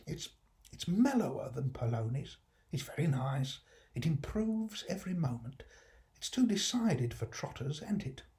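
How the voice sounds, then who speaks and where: Classic storybook role, acted by a man: kindly old working-class dad, mellow tone, homely dialect, musing and affectionate. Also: a little high, very animated, unhurried. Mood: savouring. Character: kindly, humble old working-class London father, easily enraptured.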